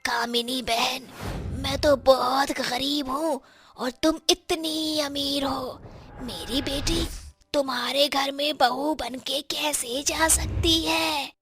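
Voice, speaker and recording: Woman as kind poor witch from a cartoon, gentle manner, high slow voice. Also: fairly even intonation, faint music behind, heavily acted. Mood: humble. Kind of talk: Hindi animated moral story acting.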